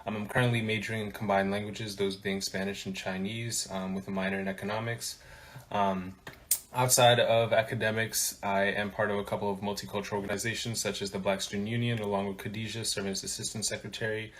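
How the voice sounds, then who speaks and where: A college student in his twenties giving a brisk self-introduction, reading through a list. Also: fairly low pitch, flat delivery, fairly bright tone. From Maryland, Haiti, grew up in Rwanda and Cameroon. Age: 20s.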